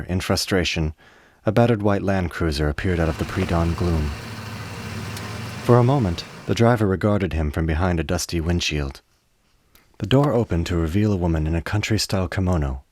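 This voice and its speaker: A man with a low, deep voice narrates a dramatic story for a radio play. His delivery is measured and literary, reading from a script. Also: darkish tone, slight background noise.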